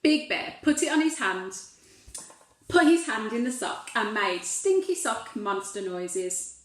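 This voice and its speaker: A woman reading a storybook expressively to young children, pausing to put gentle questions to the listeners. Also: on the high side, very animated, reverberant, rough recording.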